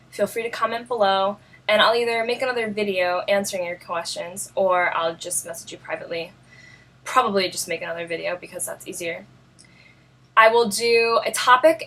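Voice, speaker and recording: A young woman with a New York accent talks straight to her viewers in a personal vlog. Her voice sits at a middle pitch, and her delivery is earnest and unpolished. She often pauses and restarts while searching for the right words. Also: fairly bright tone, rough recording. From Russia. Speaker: vlogger.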